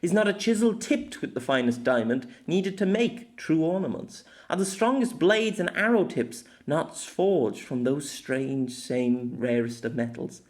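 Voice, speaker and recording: Guy doing a snooty young fantasy lady, high voice, super theatrical and indignant, audiobook. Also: a little slow, hardly any pauses, darkish tone, natural voice. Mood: dramatic reading. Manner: theatrical, accusing. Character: haughty, indignant young noble lady adventurer.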